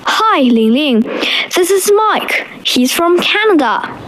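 A woman voicing a kind young child introducing a friend in a scripted textbook dialogue, with a clear, deliberate delivery. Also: very high-pitched, big pitch swings, fairly bright tone, a little hiss or hum, exaggerated voice, rough recording. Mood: friendly.

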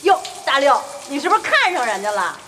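A woman's voice: sits high, big pitch swings, a little fast, noisy background. An actress playing a young woman factory worker who is chatty, blunt and teasing, in a comedy drama. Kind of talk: screen comedy-drama acting. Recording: loud music behind the voice.

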